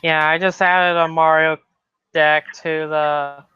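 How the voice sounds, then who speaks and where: A man's voice: fairly high pitch, level tone, slow pace, bright, forceful tone, smooth, no room sound. A young man chatting casually about games with friends over a dull lo-fi voice call. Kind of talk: casual gamer chat. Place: online voice call.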